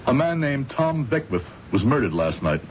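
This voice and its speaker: A troubled, earnest man played by a male actor in an old radio drama. His mid-pitched voice halts, then presses on solemnly. The recording is dull and lo-fi, with faint noise behind it. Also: very animated, natural voice. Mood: tense, hesitant. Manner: halting, solemn, insistent.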